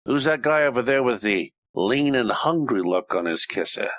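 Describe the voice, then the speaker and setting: Guy doing a tough slangy roman private eye, wry, lively pitch, dry close sound, old radio spoof. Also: moderate pace, dry recording, close to an everyday voice. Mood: brisk, sardonic. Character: wisecracking hard-boiled Roman private eye, world-weary. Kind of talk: old-time radio detective parody narration and dialogue.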